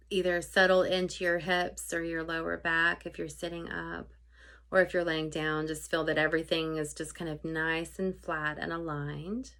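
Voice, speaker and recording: A woman with a fairly low voice guides a meditation. She speaks off the cuff in a conversational way, pausing mid-phrase and letting the ends of her instructions trail off softly. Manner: informal, conversational, halting phrasing with soft trail-offs.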